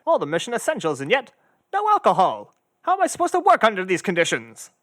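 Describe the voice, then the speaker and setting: A man playing a vain, hot-tempered, hard-drinking detective with a loud, pompous, theatrical delivery in an adventure parody. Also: high-pitched, wildly swooping pitch, quick pace, exaggerated voice. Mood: boisterous. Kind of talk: old radio adventure parody acting.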